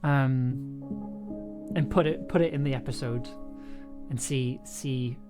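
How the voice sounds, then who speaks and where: Casual livestreaming creator, mid-pitched, musing and hesitant delivery, prominent music underneath. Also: takes it slowly. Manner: hesitant, thinking aloud, trailing off. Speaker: streamer, audio drama producer and composer. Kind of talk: casual livestream chat while working. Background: music playing, keyboard typing.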